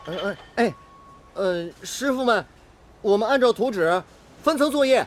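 A man's voice: high voice, animated, moderate pace, noisy background. A man acting a modest young factory apprentice in a radio drama, earnest and eager with a hesitant manner. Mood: flustered.

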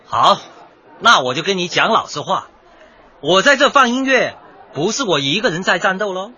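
An actor playing a cocky, argumentative young DJ with dyed hair in a light comedy radio drama. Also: male voice, sits high, sing-song, normal speed, pushed and bright, slightly rough, muffled recording, exaggerated voice. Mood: aggrieved. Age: young adult. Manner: brash, glib, protesting, lecturing.

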